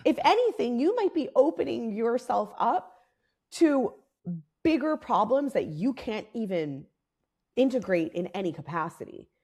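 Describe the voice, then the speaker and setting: A woman co-hosting a podcast in casual, candid talk, her voice raspy and worn, growing fired up and swearing freely as she makes her case. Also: a little high, big pitch swings, unhurried, dark tone. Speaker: podcast co-host.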